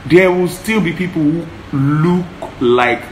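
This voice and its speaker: A man's voice: a little high, slow pace, a little mellow. Yoruba-accented man giving earnest advice. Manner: earnest, emphatic, repeats himself. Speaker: co-host. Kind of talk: casual relationship advice chat. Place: living room, indoors. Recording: echoey room, faint hiss.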